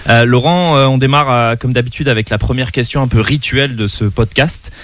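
A man's voice: mid-range voice, a little fast, a little hiss or hum. A man hosting a podcast interview in a room at an association's premises, asking friendly questions with many fillers. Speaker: podcast host. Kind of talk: casual interview talk.

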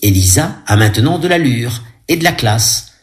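A man describes a film aloud in a chatty, ironic way, and his pitch moves a lot. He keeps breaking off to joke with his listeners. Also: animated, moderate pace. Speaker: audio describer.

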